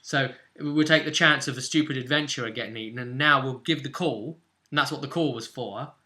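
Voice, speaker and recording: A British man running a tabletop game talks through the session in a casual, storytelling way. His voice is bright and forceful, with an ordinary male pitch. He explains in fragments, building up the scene as he goes. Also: a little fast. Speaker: game master and host. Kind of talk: casual recap of a tabletop role-play session.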